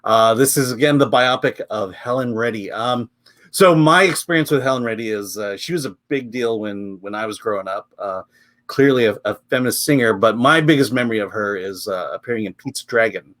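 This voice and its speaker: A man's voice: on the low side, brisk. A man hosting a film review show, talking casually with many fillers. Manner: relaxed, chatty, frequent fillers and laughs.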